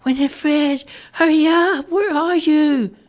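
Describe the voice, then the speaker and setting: A man's voice: high voice, lively intonation, moderate pace, vocal fry, pitched above the performer's own voice, full character voice. A man putting on a whiny, bossy old mother. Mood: distressed. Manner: whiny, imperious, complaining. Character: demanding elderly mother, fretful and dramatic. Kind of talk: storybook reading.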